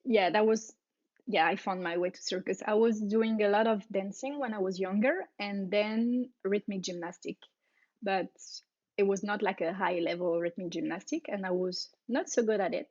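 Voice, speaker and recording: A woman's voice: medium pitch, darkish tone, dry recording, highs cut off. A woman in her thirties from France with a French accent, answering interview questions casually with fillers and little laughs. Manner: laughs often, halting with fillers. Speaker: guest, aerialist.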